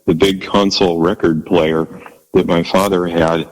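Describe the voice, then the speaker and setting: Deep bass-voice man chatting, kinda halting, lots of restarts, echoey long reverb. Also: flat delivery. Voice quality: low bass voice. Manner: halting, warm and modest, many restarts and small laughs. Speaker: guest musician. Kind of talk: casual interview chat.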